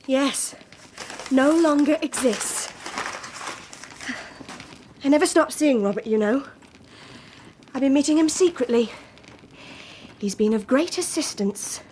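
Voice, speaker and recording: High-voiced, defiant daughter. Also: female voice, lively intonation, slow, long pauses, darkish tone, a little hiss or hum. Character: defiant young daughter, coolly vindictive. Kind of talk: radio drama acting.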